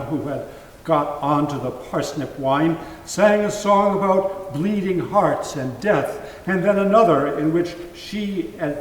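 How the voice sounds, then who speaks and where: A man giving an unhurried dramatic reading of a story, recorded from a distance in an echoey hall. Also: fairly high pitch, darkish tone. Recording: echoey hall, distant mic, faint noise.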